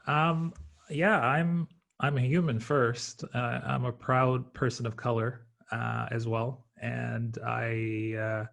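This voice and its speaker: A man introduces himself on a panel in a mid-pitched voice with a gravelly creak. He is reflective and earnest, measuring out his phrases with hesitant pauses, like a practiced storyteller thinking aloud. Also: steady tone, fairly bright tone. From born in Tanzania, later Canada and Australia.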